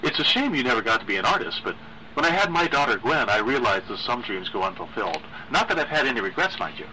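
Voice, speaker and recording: A man's voice: medium pitch, very animated, bright, forceful tone, slight background noise, rough recording. A man reading a horror story as an uneasy married mother who forces polite, brittle cheer. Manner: brittle, politely chirpy, halting.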